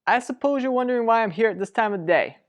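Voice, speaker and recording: A woman's voice: on the high side, lively intonation, fast talker, natural voice. A woman playing a level-headed, exasperated, protective sibling in an old-fashioned radio soap opera, matter-of-fact and chiding. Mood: concerned.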